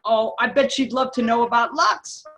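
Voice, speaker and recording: A woman's voice: on the high side, normal speed, fairly bright tone, soft background music. A woman playing an earnest, gushing teenage daughter in an amateur table read of an old radio commercial. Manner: exclamatory, gushing. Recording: noisy video call with clicks.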